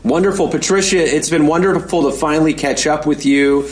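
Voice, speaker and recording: A man's voice: fairly high pitch, fairly quick. A man hosting an interview in a conversational style, building up to his questions in long, discursive phrases. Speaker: interview host.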